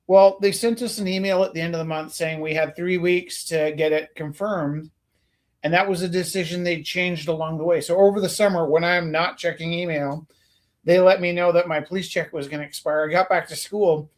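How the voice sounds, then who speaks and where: A man from Canada tells a long, winding story with plenty of ums, restarts and the occasional casual swear. His voice sits slightly above the usual range for a man, and he talks like a teacher chatting between friends. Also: on the high side. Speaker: teacher and stream host.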